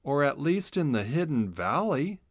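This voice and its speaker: A man's voice: a little high, very animated, moderate pace, dry recording, studio quality, voice pushed up. A man voicing a curious young hedgehog boy in a children's storybook, speaking in an earnest, emphatic way. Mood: solemn, insistent. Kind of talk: children's storybook read-aloud.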